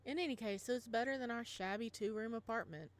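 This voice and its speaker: A woman voicing a gentle, doting father, narrating softly and tenderly in a visual novel. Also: a little high, quick pace, natural voice. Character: gentle doting father, a modest physics teacher.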